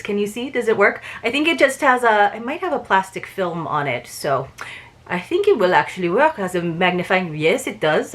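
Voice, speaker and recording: A woman's voice: medium pitch, a bit bright. A woman chatting casually to viewers as she unboxes and reviews a book subscription box, laughing often.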